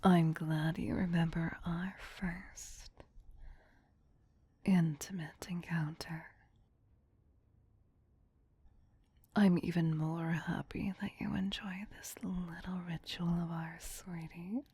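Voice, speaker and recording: A woman playing a doting, possessive vampire queen in an ASMR roleplay, whispering softly and soothingly in an intimate, coaxing manner. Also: on the low side, steady tone, slow, dry recording, full character voice. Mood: fond, seductive. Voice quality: breathy, soft whisper.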